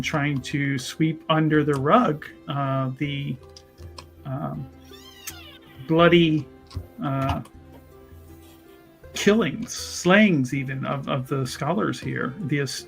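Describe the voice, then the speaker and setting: A man plays an indignant young scholar in a tabletop fantasy game, fairly high-voiced for a male. He is self-righteous and pompous, picking flowery words slowly and haltingly, with music in the recording. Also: creaky.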